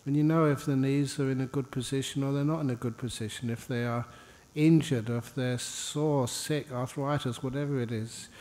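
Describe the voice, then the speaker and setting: A man guides a meditation in a relaxed, mellow voice of middling pitch. He talks informally, in short phrases separated by pauses, with a gently humorous touch. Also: big-room sound. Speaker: Buddhist monk, meditation teacher. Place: indoor meditation hall.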